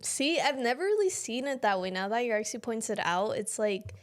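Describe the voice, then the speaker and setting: A woman's voice: fairly high pitch, big pitch swings, moderate pace. A young woman co-hosting a podcast, talking reflectively and casually with lots of fillers. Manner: reflective, many fillers like "you know" and "like". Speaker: podcast co-host.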